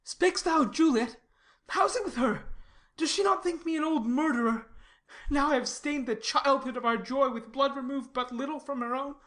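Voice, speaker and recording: Shakespeare audio drama: lovesick male youth voiced by a woman, high-pitched, distraught, theatrically anguished. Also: moderate pace, a bit bright. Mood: frantic grief. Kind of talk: classical stage tragedy in audio.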